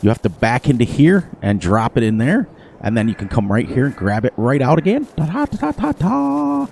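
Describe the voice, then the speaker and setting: A man's voice: medium pitch, very animated, brisk, gravelly, a little mellow, a little hiss or hum. A man hosting a farming game playthrough in casual chat, laughing often, with a sniffly, runny nose. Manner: chatty, laughs often, playful. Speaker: gaming channel host. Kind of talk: casual gameplay commentary.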